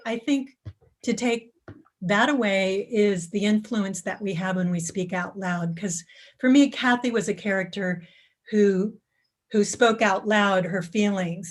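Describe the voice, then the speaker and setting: A woman with a medium-pitched voice shares reflections on a video call. She talks casually and haltingly, circling back over phrases as she reasons. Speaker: actor from a staged reading, discussion participant.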